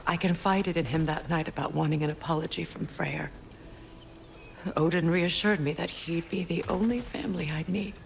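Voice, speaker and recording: An actress playing a proud, wounded former queen and sorceress in a cinematic video game, measured and solemn. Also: lowish voice, creaky, a little hiss or hum. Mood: sorrowful, reflective. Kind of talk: dramatic cinematic game acting.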